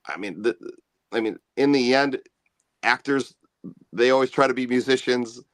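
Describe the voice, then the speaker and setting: A man with a low bass voice, a musician guest chatting in an easygoing, rambling way about his music. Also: normal speed, dry recording. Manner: easygoing, rambling, self-promoting. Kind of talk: casual interview chat.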